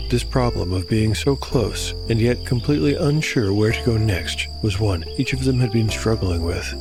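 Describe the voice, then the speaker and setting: Low-voiced man narrating over music. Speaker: narrator and game master. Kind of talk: scripted audio drama narration.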